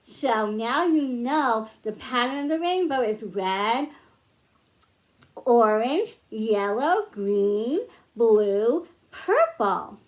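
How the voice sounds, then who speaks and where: A woman's voice: a little high, animated, very slow. A woman presenting a science storytime for young children, explaining things in simple, instructive phrases. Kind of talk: children's science lesson, spoken to young viewers.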